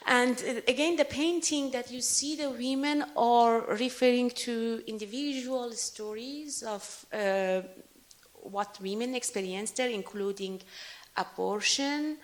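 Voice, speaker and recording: A woman answering questions after a talk on her paintings, speaking in in an earnest, halting and emotional way. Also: on the high side. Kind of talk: lecture Q&A answer.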